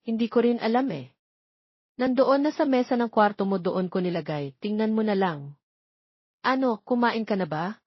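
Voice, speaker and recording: A woman's voice: fairly high pitch, expressive, moderate pace, long pauses, dry recording, muffled recording. A woman with a Filipino accent voicing a caring, protective middle-aged mother in an audio drama.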